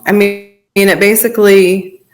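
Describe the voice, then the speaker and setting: A woman guest telling her personal story informally in an interview, in halting, pieced-together phrases. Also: mid-range voice, little pitch movement. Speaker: podcast guest sharing personal testimony.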